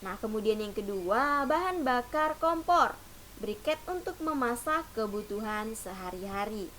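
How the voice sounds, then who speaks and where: A woman's voice: a little high, animated, measured pace, a bit bright. Woman teaching children, light hiss. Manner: patient, often starts sentences with "nah". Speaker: primary school teacher. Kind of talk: online lesson for children. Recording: faint hiss.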